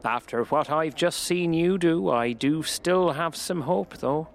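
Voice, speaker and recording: A man playing a gentle, hopeful tree-tender in a fantasy audio drama, with measured, archaic, friendly speech. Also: on the high side, vocal fry, slight background noise.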